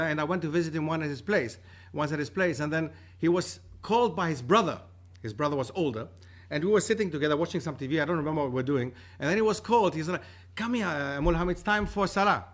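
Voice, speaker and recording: Lively, storytelling man in his forties, a lecturer from Germany, speaking at a table mic in a room with a projection screen. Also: fairly high pitch, fast talker. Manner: animated, gestures with both hands, tells stories. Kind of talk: university talk with audience questions. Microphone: table mic on a stand.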